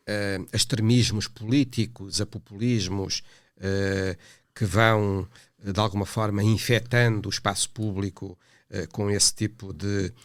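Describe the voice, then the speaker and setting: Podcast host, European Portuguese accent, lowish voice, dry close recording, measured and articulate. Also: male voice, no echo. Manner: measured, articulate questions with occasional fillers. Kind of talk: podcast interview.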